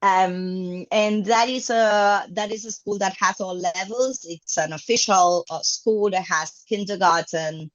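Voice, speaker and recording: A woman's voice: mid-range voice, measured pace, hardly any pauses, muffled recording. A woman in her fifties from Argentina, a native Spanish speaker, talking about her teaching career in an interview, hesitant with many fillers and restarts. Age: 50s. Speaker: guest, English teacher. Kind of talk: conversational interview.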